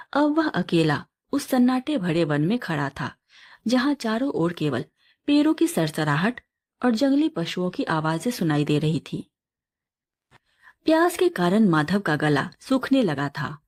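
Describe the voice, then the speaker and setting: A woman reading a scripted moral folk tale as a storyteller, in a measured, expressive storybook delivery. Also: lowish voice.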